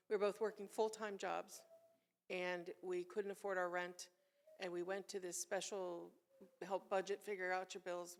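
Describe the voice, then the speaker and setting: Woman, discussion participant, telling her own story plainly, mid-pitched with noticeable fry. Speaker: church class participant. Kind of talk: personal anecdote in a discussion.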